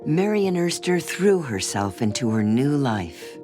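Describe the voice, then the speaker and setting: A documentary narrator delivers scripted narration with a lively, rising and falling intonation. Clear music plays underneath the whole read. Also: medium pitch, unhurried.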